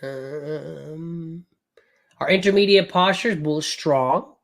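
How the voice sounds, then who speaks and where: A man running a live trading class, recovering from a cold, talking in a loud, brash and profane way with lots of rambling asides. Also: fairly high pitch, creaky. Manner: casual, fast and rambling, with frequent "right?". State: recovering from a cold, voice not fully back. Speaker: day trading coach.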